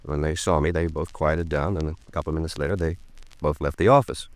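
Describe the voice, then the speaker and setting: Talkative cautious attorney, rapid speech, low pitch, American, formal, earnest, 1950s radio play. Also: male voice, fairly even intonation, no room sound. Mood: earnest, concerned. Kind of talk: 1950s radio drama dialogue.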